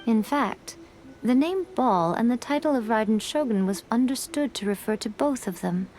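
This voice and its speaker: A woman's voice: on the high side, expressive, normal speed, darkish tone, slight background noise, close to an everyday voice. A woman voicing a sly, playful fox-spirit woman in a game, with a poised, teasing way of speaking. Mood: calm. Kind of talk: game dialogue.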